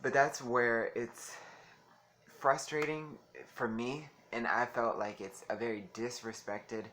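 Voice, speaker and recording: Male vlogger, soft bright voice, chatty and giggly, faint hiss behind. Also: mid-range voice, steady tone, slow pace. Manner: chatty, giggly, calls listeners honey. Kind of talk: casual talk to camera.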